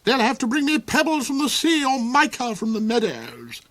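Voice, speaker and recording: A man's voice: very high voice, huge pitch swings, normal speed, fairly bright tone, pitched above the performer's own voice, exaggerated voice. A man voicing a cruel, cold, ranting old duke, shrieking, snarling and cackling in an audiobook reading. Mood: gloating. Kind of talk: audiobook character voice.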